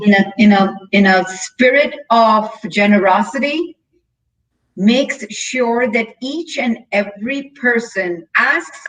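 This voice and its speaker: A woman's voice: medium pitch, a bit bright. A middle-aged woman talking thoughtfully and conversationally as a panelist on a video call from home. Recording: distant mic, noisy home video call.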